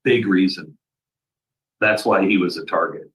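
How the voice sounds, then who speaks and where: A man's voice: sits low, unhurried, fairly bright tone. A man speaking on a political talk show in an earnest, urgent manner.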